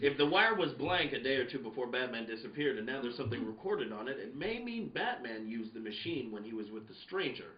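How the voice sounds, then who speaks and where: A man playing a calm, probing newspaperman hero in a comic radio play, explaining things in a measured way. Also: mid-range voice, voice pushed down, natural voice. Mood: measured, purposeful. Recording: roomy, dull sound, crowd behind.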